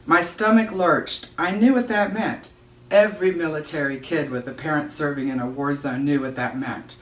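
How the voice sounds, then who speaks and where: A woman giving an expressive read-aloud of a children's novel, narrating as a storyteller. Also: low-pitched, moderate pace. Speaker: audiobook-style reader. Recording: roomy echo, distant microphone.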